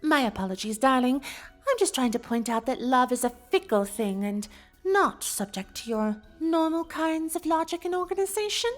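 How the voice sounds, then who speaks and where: A woman's voice: high-pitched, animated, normal speed, faint music behind. A woman voicing a refined, dramatic, confident unicorn pony socialite in an audio story, with genteel, theatrical delivery. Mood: brisk, dramatic. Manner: theatrical, genteel, advising with affectionate endearments. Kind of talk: scripted character voice in audio story.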